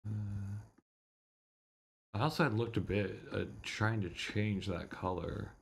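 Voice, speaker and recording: A man's voice: on the low side, takes it slowly, creaky, husky. A man streaming a visual novel, chatting casually and muttering mildly exasperated asides. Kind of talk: casual livestream chat.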